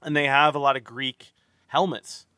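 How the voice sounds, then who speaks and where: Bright-voiced rambling podcast host. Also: male voice, mid-range voice, fairly even intonation, normal speed, no room sound, studio quality. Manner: rambling, stammering restarts, swears freely. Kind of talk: casual movie-review chat.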